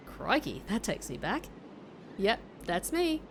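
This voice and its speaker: A woman's voice: medium pitch, sing-song, takes it slowly, noisy background. A woman playing a breezy, friendly Australian secret agent in an audio drama, chummy and slangy, with a playful manner. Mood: cheerful. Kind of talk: audio drama acting with narration.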